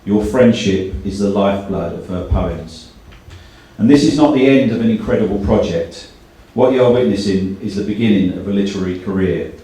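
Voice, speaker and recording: A man with a fairly low voice is hosting a live event with prepared words and verse. He sounds distant from the microphone, with heavy room echo around him. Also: moderate pace, warm tone. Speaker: event host. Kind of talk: prepared speech and poetry reading to an audience. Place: indoor live launch event. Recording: very echoey hall, far from mic.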